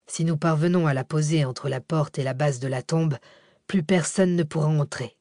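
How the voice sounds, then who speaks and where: A woman voicing a bossy, curt man giving hushed orders during a desperate escape. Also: a little high, normal speed, no echo, clean studio sound, close to an everyday voice. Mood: hushed urgency. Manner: commanding, hushed.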